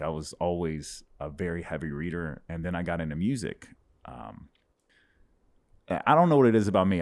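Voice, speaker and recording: A man in his thirties from Dallas, a stand-up comedian talking reflectively about his life in a home studio. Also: low-pitched. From Dallas, Texas. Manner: reflective storyteller, animated hand gestures. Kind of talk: casual interview chat. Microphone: boom-mounted studio mic with headphones.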